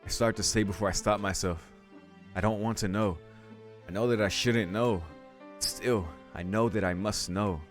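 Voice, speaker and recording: Male let's play host: low voice, dramatic story narration, faint music behind. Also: steady tone, moderate pace. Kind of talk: dramatic reading of visual novel narration.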